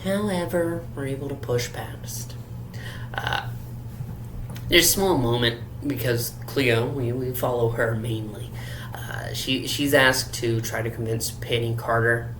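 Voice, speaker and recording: Male solo reviewer, slow and stammering, earnest delivery, roomy hum-laden recording. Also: medium pitch, fairly bright tone. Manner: halting, with stammered repeats. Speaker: host reviewing an audio drama. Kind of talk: solo review and episode recap. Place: echoey room away from usual setup. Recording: roomy echo with clear background hum.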